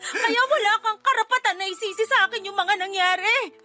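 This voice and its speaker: A woman's voice: extremely high pitch, a little fast, sharp tone, faint music behind. A woman with a Filipino accent playing a grieving, defensive wife and mother, tearful and indignant in a radio drama.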